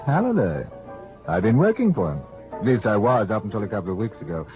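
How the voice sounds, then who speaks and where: A man's voice: on the low side, huge pitch swings, fast talker. An actor playing a sly, pushy small-time male crook in an old radio drama, casual and insinuating. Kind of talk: 1940s radio drama acting. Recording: tinny old radio, music behind.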